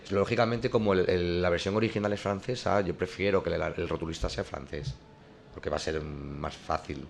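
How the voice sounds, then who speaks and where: A man's voice: low voice, fairly even intonation, brisk, creaky, slight background noise. A man who works as a comic artist, explaining his drawing process in a hesitant way, with many restarts and fillers. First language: not French.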